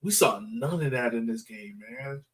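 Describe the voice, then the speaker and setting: A man's voice: medium pitch, normal speed, far from the mic. A man hosting a soccer podcast, talking through analysis in a casual, conversational way full of filler phrases. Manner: conversational, peppered with "like" and "you know". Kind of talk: casual sports analysis chat.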